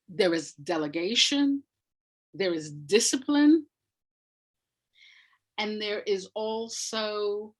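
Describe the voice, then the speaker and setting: A woman speaking as a playwright guest in a thoughtful interview, reflective and repeating words for emphasis. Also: mid-range voice.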